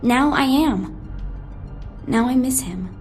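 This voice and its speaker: Brainy conspiracy minded ex agent woman, self-important n plaintive, high voice, video game. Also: slow pace, darkish tone. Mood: wistful, lovelorn. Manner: indignant, plaintive, pompous. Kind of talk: scripted video game audio log monologue. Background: music behind the voice.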